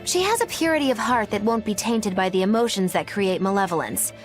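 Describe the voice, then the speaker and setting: A woman's voice: mid-range voice, expressive, brisk, music in the background, close to an everyday voice. Woman as a lilting, knowing female sage.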